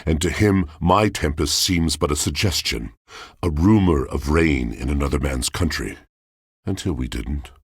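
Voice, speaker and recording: An extremely deep-voiced, wounded romantic from a video game, speaking slowly in grandiose, florid verse. The voice is gravelly and husky, solemn and theatrical throughout. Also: male voice, dry recording, studio quality, heavily acted. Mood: wistful, grandiose. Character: brooding, lovelorn poetic washing machine, grandiose and wounded. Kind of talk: video game character acting.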